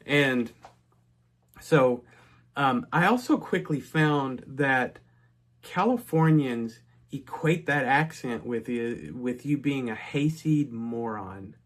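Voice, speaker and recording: A man's voice: medium pitch, lively intonation, takes it slowly. Middle-aged man telling a work story. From North Texas. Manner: chatty, with frequent restarts and asides. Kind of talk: casual personal storytelling.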